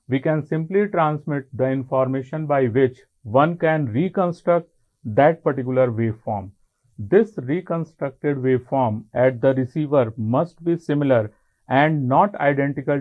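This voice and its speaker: A man with an Indian accent giving a technical college lecture in an explanatory way, in deliberate short phrases. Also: on the high side. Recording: muffled, phone-like narrow band.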